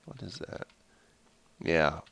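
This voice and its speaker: Man, nasal, stuffy head cold, low voice, dry close mic, rambling gameplay commentary. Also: big pitch swings, takes it slowly, creaky, fairly bright tone, husky, no room sound. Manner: rambling, with many fillers and self-corrections. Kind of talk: casual let's play commentary.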